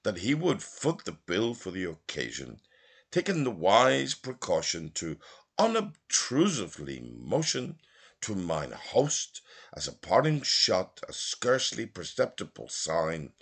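Deep, husky man reading expressively. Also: wildly swooping pitch, unhurried. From Belfast, Northern Ireland. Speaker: literary reader. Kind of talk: expressive literary audiobook narration.

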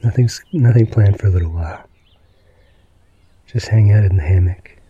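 Very dark, husky man soothing listeners. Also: normal speed, no echo, lo-fi sound. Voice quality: husky, creaky. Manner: gentle, low-key, meandering. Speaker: podcast host. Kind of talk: slow, soothing bedtime narration.

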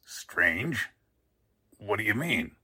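An actor playing a bewildered, flustered newspaper boss, halting and exclamatory, in 1940s radio drama style. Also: male voice, on the low side, big pitch swings, pushed and bright, breathy edge, no echo, exaggerated voice. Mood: puzzled.

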